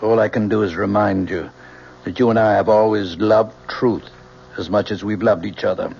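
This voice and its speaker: Low-voiced, grave father. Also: fairly even intonation, breathy edge. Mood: grave disappointment. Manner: measured, probing, quietly stern. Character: grave, principled, loving father of grown daughter. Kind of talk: old-time radio drama acting. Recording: dull old radio recording, faint noise.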